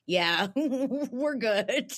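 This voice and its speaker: A young woman with a raspy voice chatting casually as a podcast guest, laughing often and restarting her sentences. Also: high-pitched, animated, extremely slow. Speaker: guest voice actor.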